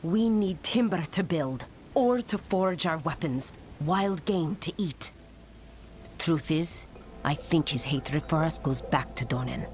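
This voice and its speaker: A woman playing a blunt, resentful knight commander in a fantasy video game, with a gruff manner. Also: low-pitched, expressive, takes it slowly, a little mellow. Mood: bitter, dismissive. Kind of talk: dramatic video game dialogue. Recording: music under the voice.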